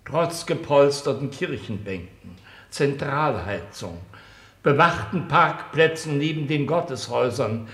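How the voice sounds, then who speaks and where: An unctuous cleric of state in a satirical radio drama. His mid-pitched voice is measured and pompous, sounding in a roomy echo. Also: expressive, a bit bright. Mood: unctuous, apologetic. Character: unctuous, apologetic male church minister, deferential and wordy. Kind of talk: satirical German radio play acting.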